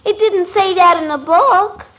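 Very high-pitched puzzled little girl. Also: a little slow, heavy background noise. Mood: earnest. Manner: questioning, earnest. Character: literal-minded, puzzled little schoolgirl, stubbornly questioning. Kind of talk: 1950s radio comedy acting. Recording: vintage radio broadcast recording.

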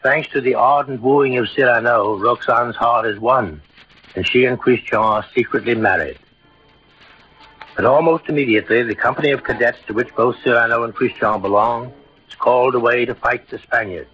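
A man narrating a scripted radio drama in a measured, dramatic mid-century storytelling style. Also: medium pitch, bright, forceful tone, faint music behind. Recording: dull old radio recording.

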